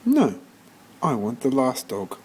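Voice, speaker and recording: A man's voice: mid-range voice, huge pitch swings, slow pace, darkish tone, slightly rough, full character voice. A man voicing a lonely, eager, determined young boy in a storybook, in short, insistent phrases. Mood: urgent, insistent.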